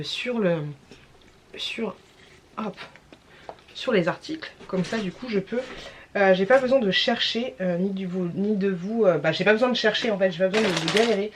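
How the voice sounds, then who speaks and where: A young woman chats into her camera at home, her voice stuffy and worn from a cold. She rambles, restarts and fills the gaps with "euh." A faint hiss sits under the recording. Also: mid-range voice. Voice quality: tired, stuffy. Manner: rambling, lots of fillers and restarts. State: has a cold. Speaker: vlogger. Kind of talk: casual vlog chat.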